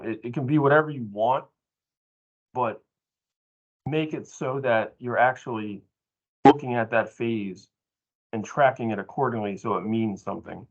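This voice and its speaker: Man, mid-pitched voice, informal workplace explanation, halting but emphatic, dull lo-fi audio. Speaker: training participant adding comments.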